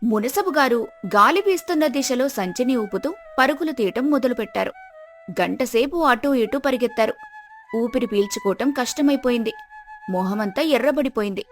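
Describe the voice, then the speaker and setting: High-voiced woman narrating a story. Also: expressive, a little fast, soft background music. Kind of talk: scripted children's story narration.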